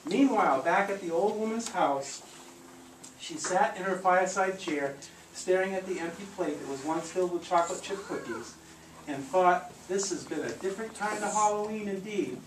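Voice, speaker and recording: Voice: medium pitch, normal speed. A storyteller reading aloud to a live group in a theatrical, encouraging way, with coaching asides. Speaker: storyteller leading a live audio theatre show. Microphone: handheld camcorder at a distance. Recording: echoey room, distant camcorder mic, noisy.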